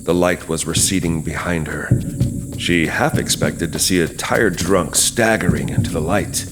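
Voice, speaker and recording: A man with a low voice reads dramatic horror narration from a script. He serves as the storyteller, and noticeable night-time sound effects sit behind him. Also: unhurried. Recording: clear background noise, night sound effects.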